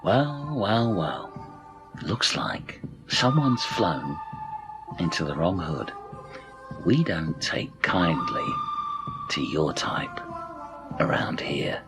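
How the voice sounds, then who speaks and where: Man playing slow, deep-voiced theatrical gang leader. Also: big pitch swings, music in the background, heavily acted, rough recording. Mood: mock menacing. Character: menacing but sidetracked male castaway gang leader. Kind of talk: comedic radio play acting.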